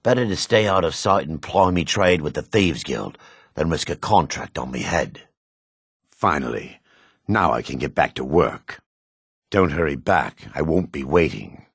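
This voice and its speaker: An actor playing a gruff, haughty dark elf rogue in a video game, with a gravelly, raspy voice and a curt, disdainful, suspicious way of talking. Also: male voice, rumbling low, lively intonation, measured pace, dry recording, clean studio sound, heavily acted. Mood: menacing. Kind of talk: video game character dialogue.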